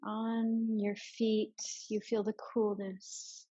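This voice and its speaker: Slow, husky woman guiding meditation. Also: medium pitch, level tone, warm tone, muffled recording. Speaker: yoga and meditation teacher. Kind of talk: guided meditation with visualization.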